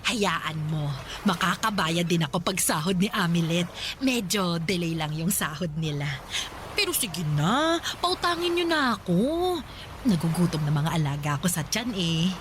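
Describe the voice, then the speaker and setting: A woman's voice: fairly low pitch, sing-song, normal speed, noisy background. An actress playing a brazen, flirtatious middle-aged single mother in a radio drama, coy and whiny by turns. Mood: demanding, pleading. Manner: shameless, coy, theatrical, whiny when scolded.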